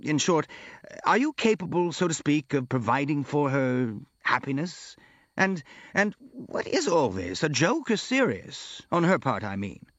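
A man's voice: a little high, sing-song, no echo, dull audio, voice pushed up. A man voicing a flustered middle-aged general in an audiobook, a bewildered, fretting father who halts and repeats himself. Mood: perplexed. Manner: stammering, repetitive, halting.

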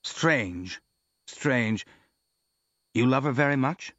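A man's voice: medium pitch, expressive, a bit bright, dry recording, lo-fi sound. Man acting a flustered, stammering old-world general. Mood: perplexed. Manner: stammering, repetitive, halting. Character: flustered middle-aged general, a bewildered fretting father.

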